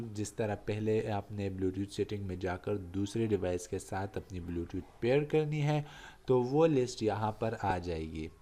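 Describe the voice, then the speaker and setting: A man's voice: fairly low pitch, a little mellow. A man hosting a tech channel, reviewing and explaining an app step by step in a repetitive way, addressing viewers as friends.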